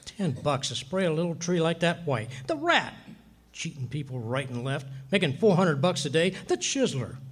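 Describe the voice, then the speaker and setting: Guy doing an old-time radio comedy cheapskate husband, high voice, swoops all over, blustery and griping. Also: very animated, normal speed, husky. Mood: indignant, grumbling. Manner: blustery, sarcastic, grumbling. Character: indignant, blustering cheapskate husband haggling over a Christmas tree. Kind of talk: 1940s radio comedy acting.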